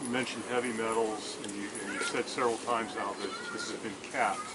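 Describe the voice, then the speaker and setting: A man asking questions at a public meeting in a halting, roundabout way. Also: on the low side, fairly even intonation. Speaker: attendee questioning officials. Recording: noisy public meeting, ambient noise.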